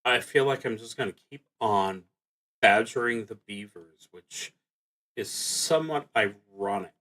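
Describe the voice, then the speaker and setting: Slow-paced man narrating a solo tabletop game. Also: fairly low pitch, pushed and bright, rough recording. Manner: thinks aloud, hesitant pauses between phrases. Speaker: solo roleplaying game streamer.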